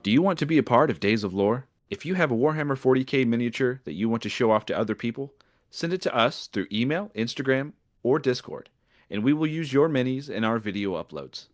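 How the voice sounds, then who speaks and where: A man's voice: medium pitch, expressive, brisk, dry recording, clean studio sound, faint music behind. A man co-hosting a podcast, talking in a casual, conversational style. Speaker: podcast co-host. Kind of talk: casual podcast talk and announcements.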